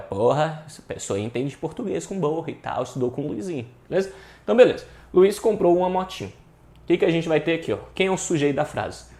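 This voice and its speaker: A man's voice: on the high side, a little mellow. A Brazilian man teaching an informal grammar lesson, colloquial, often asking questions and answering them himself. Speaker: Portuguese grammar teacher for exam preparation. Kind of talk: informal online lesson, explaining with examples. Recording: echoey room.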